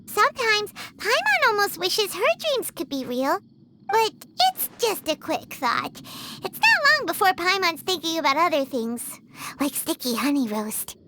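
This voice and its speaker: A woman voicing a small, excitable, naive childlike fairy companion in a video game, speaking of herself in the third person. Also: very high-pitched, unhurried, background noise, full character voice. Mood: wistful. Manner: refers to self in third person. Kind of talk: English video game voice acting.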